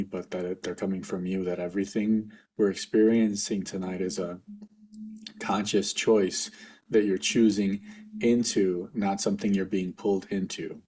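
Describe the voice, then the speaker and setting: A man leading a guided meditation for a group as facilitator, speaking informally in a gentle, reassuring, unhurried way. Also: deep voice, slight background noise.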